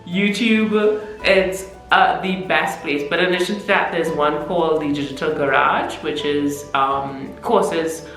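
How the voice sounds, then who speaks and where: A woman's voice: low-pitched, unhurried, fairly bright tone, echoey room, faint music behind, rough recording. A young woman with a Caribbean accent that mixes Trinidadian and Barbadian, talking to camera in a chatty, candid vlog. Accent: Caribbean mix of Trinidadian and Barbadian. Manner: chatty, candid, self-deprecating. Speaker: vlogger. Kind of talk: casual talking-head vlog. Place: room in Mexico City.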